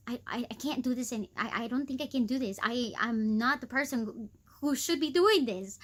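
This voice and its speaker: A woman hosting a weekly devotional talk, earnest and encouraging, conversational with many fillers, with a squeaky voice. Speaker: host of a weekly devotional video. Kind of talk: devotional teaching.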